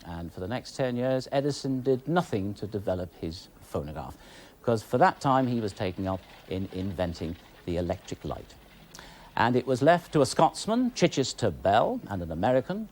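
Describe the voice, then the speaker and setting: A man's voice: fairly low pitch, sing-song, moderate pace, darkish tone. A man with a British accent presenting and explaining things in a documentary style. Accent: British English. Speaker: television presenter.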